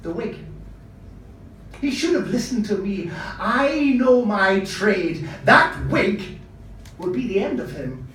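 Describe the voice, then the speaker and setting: A man's voice: high-pitched, big pitch swings, normal speed, pauses often, darkish tone, pitched above the performer's own voice. A man voicing a fussy, foreboding older costumier uncle in a story reading, with a hesitant, emphatic delivery. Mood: grave, foreboding. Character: fussy older male costumier, wary, gloomily prophetic uncle. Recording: echoey room, distant mic, faint noise.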